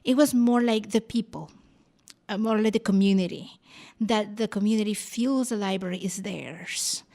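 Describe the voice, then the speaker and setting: Gravelly-edged woman with a Costa Rican accent. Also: medium pitch, moderate pace, mellow. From Costa Rica. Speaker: librarian, interview guest. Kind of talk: conversational radio interview.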